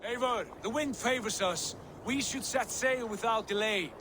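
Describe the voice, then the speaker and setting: High-voiced proud Viking prince. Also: male voice, measured pace, background noise. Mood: steady, eager. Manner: solemn, formal speech. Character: proud, ambitious Viking prince, defiant son leading his clan away. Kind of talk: dramatic video game cutscene acting.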